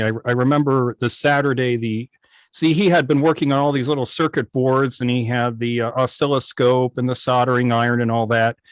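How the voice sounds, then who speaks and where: A man's voice: medium pitch, no echo. Middle-aged American man, dry close sound. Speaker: guest, old-time radio station operator. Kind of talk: casual anecdotal storytelling. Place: indoors on a video call.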